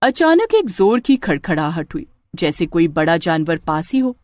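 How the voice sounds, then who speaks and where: Expressive woman narrating a horror tale. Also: on the low side, normal speed, no echo, studio quality. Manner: dramatic, with stammering in character lines. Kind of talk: scripted horror story narration.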